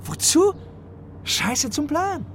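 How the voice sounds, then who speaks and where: A man's voice: very high-pitched, big pitch swings, slow, a bit bright, slight background noise, voice pushed up, full character voice. A man voicing a cocky, foul-mouthed young small-time thief in an audiobook, brash, sneering and slangy. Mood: defiant, scornful.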